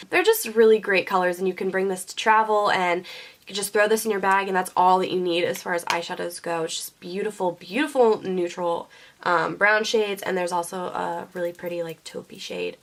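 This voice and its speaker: A woman's voice: fairly high pitch, fairly bright tone. Chatty young woman, a bit sniffly. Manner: chatty, many ums and likes. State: sniffly from a sinus infection. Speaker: beauty vlogger. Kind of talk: casual product favorites chat. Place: indoors, seated before a camera. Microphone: camera mic.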